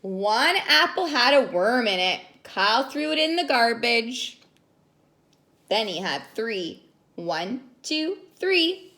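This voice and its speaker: High, animated, slow-paced woman storyteller. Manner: sing-song, expressive, prompting listeners with questions and counting. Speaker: children's story reader and caregiver. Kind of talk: read-aloud storytelling for young children.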